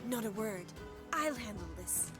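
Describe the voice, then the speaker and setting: An actress playing an imperious, quick-witted young noble lady in a video game, with haughty, commanding, formal diction. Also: mid-range voice, expressive, natural voice. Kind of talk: video game dialogue acting. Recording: music behind the voice.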